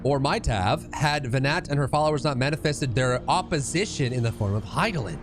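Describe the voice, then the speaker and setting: A man's voice: medium pitch, expressive, brisk, music in the background, lightly acted. A man voicing a grave ancient witness in a fantasy game, recounting catastrophe in solemn, archaic storytelling style. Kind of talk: reading fantasy game dialogue aloud.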